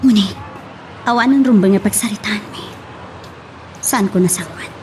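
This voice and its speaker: A woman's voice: medium pitch, moderate pace, darkish tone, a little hiss or hum, natural voice. A woman playing a hurt, stubborn young woman wronged in love in an Ilocano drama. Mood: hurt, bitter. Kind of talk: Ilocano radio-style drama acting.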